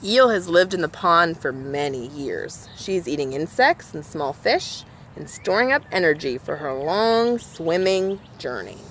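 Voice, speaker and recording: A woman with a slightly low voice reads a picture book aloud for children. She addresses her listeners directly in an explanatory way. There is some ambient noise behind her. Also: expressive, measured pace, hardly any pauses, fairly bright tone. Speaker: storybook reader for a nature organization.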